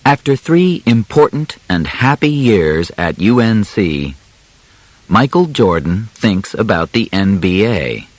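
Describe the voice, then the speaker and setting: Very slow, low-voiced male audiobook narrator. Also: big pitch swings, dry recording. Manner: deliberate, carefully enunciated, short phrases with pauses. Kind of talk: scripted narration of simplified learner audiobook.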